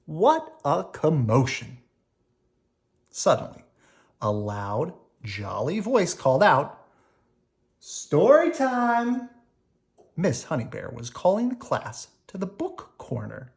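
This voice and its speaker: A woman reading a children's storybook aloud in an animated, unhurried way for young listeners. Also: extremely low pitch, creaky. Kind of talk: expressive read-aloud storytelling.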